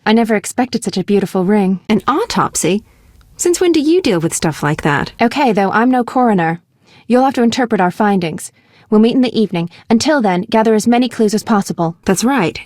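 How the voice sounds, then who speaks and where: A woman acting out a young female medic from a fantasy video game. She is warm and witty, plain-spoken and lightly teasing, with a mid-range pitch. The recording is dry and close, with conversational delivery. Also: lively intonation, brisk, no echo, lightly acted. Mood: friendly, matter-of-fact. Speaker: video game character voice actor. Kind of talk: scripted game dialogue.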